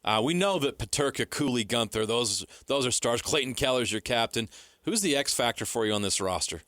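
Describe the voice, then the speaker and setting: A man's voice: medium pitch, lively intonation, brisk, fairly bright tone, dry recording, clean studio sound. A man co-hosting a sports radio show in a casual talk style. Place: dry radio studio.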